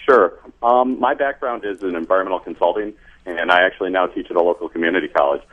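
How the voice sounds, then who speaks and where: Fast deep husky American man on phone. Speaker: call-in listener, community college teacher. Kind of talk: casual explaining on a call-in show. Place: phone call to a radio show. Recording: muffled, narrow phone line.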